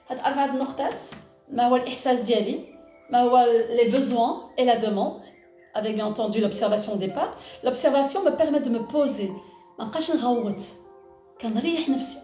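A woman's voice: on the high side, a little mellow, echoey room. A woman in her thirties with a Moroccan accent, a coach explaining things step by step to parents as she writes on a flipchart. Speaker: positive discipline coach. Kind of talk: recorded talk to parents. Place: small office, indoors.

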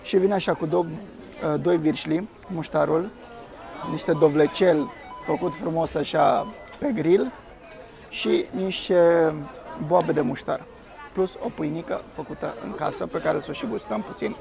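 Young man with a high, gravelly voice. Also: darkish tone, faint music behind. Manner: enthusiastic, exclaims while tasting. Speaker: food vlogger. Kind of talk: casual food review to camera. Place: busy rooftop restaurant terrace, outdoors. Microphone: camera on the table. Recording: crowd chatter on a terrace. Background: diners chattering.

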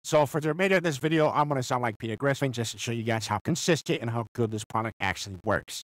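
A young man voicing a goofy cartoon dad through an AI voice changer, giving a software tutorial. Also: medium pitch, fast, no echo. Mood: matter-of-fact. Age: young adult. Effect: real-time AI voice changer.

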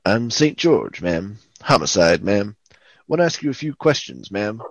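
A man acting a deadpan, polite knight detective in a parody of fifties radio police drama, with a husky voice. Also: fairly low pitch, unhurried, no echo, dull audio, full character voice. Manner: deadpan, clipped police patter.

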